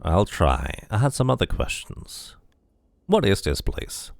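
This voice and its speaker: A man voicing a scarred amnesiac immortal hero who asks calm, plain questions. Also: deep voice, slow, creaky, no echo, full character voice. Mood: curious.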